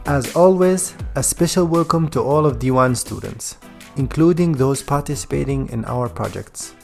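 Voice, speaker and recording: A man with a gravelly voice, reading a scripted podcast introduction as host. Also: mid-range voice, normal speed, darkish tone, soft background music.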